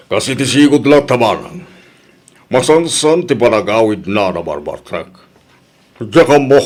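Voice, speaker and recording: An actor playing a stern, exasperated middle-aged father in a radio drama, with an Ilocano accent and an emphatic, admonishing way of talking. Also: medium pitch.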